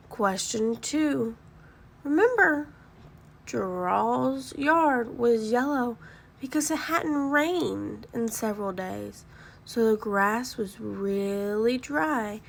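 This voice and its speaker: A woman reading aloud to children like a teacher, expressive and deliberate, explaining and asking questions. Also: fairly high pitch, creaky, a little hiss or hum.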